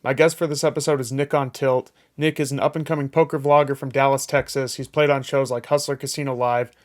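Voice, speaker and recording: A man's voice: mid-range voice, little pitch movement, brisk, no echo, studio quality. A man in his twenties hosting an interview, opening with a scripted intro and then asking questions in a friendly, conversational way. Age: 20s. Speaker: interview host. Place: on a cruise ship.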